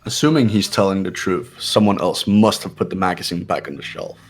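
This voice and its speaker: A man's voice: sits low, fairly quick, faint music behind. A man voicing an arrogant young man who is cold and dismissive.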